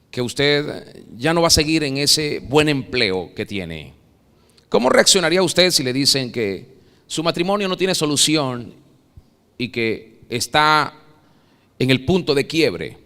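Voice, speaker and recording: A Venezuelan man delivers a sermon with a bright, ringing voice pitched a touch high. He surges to loud, dramatic peaks and then drops to near whispers. The church hall carries a long reverb. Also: animated, a little slow, smooth. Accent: Venezuelan Spanish. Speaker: pastor. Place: church sanctuary.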